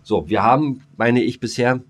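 A man's voice: medium pitch, normal speed. A man co-hosting a casual podcast, joking in slangy speech, rambling and often interrupting himself. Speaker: podcast co-host.

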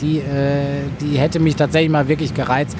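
A man's voice: a little high, level tone, normal speed. Bavarian-born male pilot, noisy surroundings. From Bavaria, Germany. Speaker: airline pilot. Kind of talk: interview answers, casual chat. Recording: clear vehicle-like ambient noise.

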